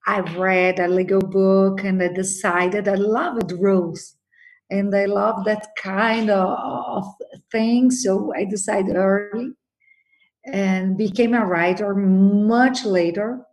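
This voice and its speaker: A woman's voice: medium pitch, fairly even intonation, slow, darkish tone. A woman in her fifties from Brazil with a Brazilian Portuguese accent, answering interview questions hesitantly with many fillers. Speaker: guest, attorney and novelist.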